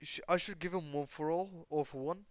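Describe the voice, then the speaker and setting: A man telling improvised fan fiction in a casual, rambling, halting way, with some swearing as he goes. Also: on the high side, no echo. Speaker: storyteller and host of a fan what-if channel. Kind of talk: casual improvised retelling of anime fan fiction with acted dialogue.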